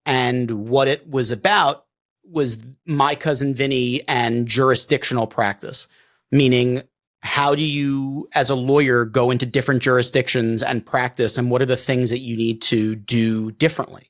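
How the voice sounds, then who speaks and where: A middle-aged man with a New York accent, chatting casually as a podcast co-host, pausing and restarting often. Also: mid-range voice, fairly even intonation, a bit bright. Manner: halting, many restarts and fillers. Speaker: defense attorney co-host.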